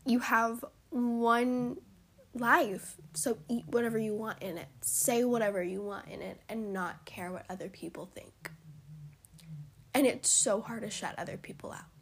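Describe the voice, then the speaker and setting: A young woman with a hoarse, husky voice hosts a solo podcast, her voice fraying in and out. She talks in an encouraging, heartfelt way and often sinks to a whisper. Her pitch sits a little high for a woman. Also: fairly even intonation, unhurried. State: hoarse, voice cutting in and out. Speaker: podcast host. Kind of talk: solo motivational podcast talk.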